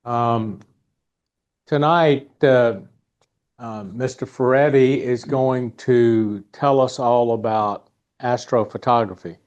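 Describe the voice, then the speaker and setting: Slow-paced man hosting a club meeting. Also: mid-range voice, steady tone, a little mellow, highs cut off. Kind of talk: informal announcements and guest introduction. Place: indoor meeting room.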